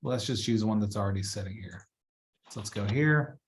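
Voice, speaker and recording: A man giving a casual software walkthrough as a trainer, chatty and informal, thinking aloud as he works. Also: lowish voice, moderate pace, a little hiss or hum. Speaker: real estate trainer.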